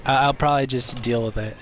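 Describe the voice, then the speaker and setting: A man's voice: mid-range voice, a little hiss or hum. A man streaming a video game, chatting casually and giving his opinions, with a stuffy, congested voice. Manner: relaxed, opinionated, chatty with viewers. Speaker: gaming streamer.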